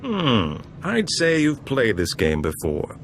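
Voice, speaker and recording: An actor playing a suave, calm information broker in a video game, speaking in a measured, wry and courteous way. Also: male voice, mid-range voice, slight background noise, heavily acted. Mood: playful, urbane.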